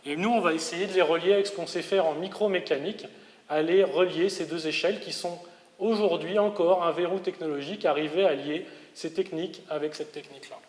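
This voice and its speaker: In a reverberant hall, a man in his forties with a metropolitan French accent delivers a public lecture. His voice sits a little high for a man. He explains like a professor, hesitantly rewording as he goes. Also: brisk. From Paris region, France. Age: 40s. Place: large reverberant lecture venue. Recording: echoey hall with long reverb.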